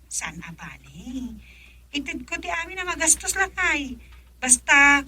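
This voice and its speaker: Piercingly bright, assertive wife. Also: female voice, sits high, big pitch swings, unhurried, heavily acted. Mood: eager, insistent. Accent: Ilocano. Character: assertive wife working abroad, eager to spend. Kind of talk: Ilocano radio drama acting.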